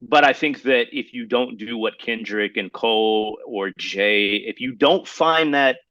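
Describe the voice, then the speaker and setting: A man co-hosting a podcast in casual, slangy, opinionated chat, talking in a halting, rambling way and circling back to qualify his points. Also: mid-range voice, pushed and bright, no echo, dull audio. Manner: halting, rambling, hedging delivery; slangy, addresses listener as bro. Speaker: podcast co-host.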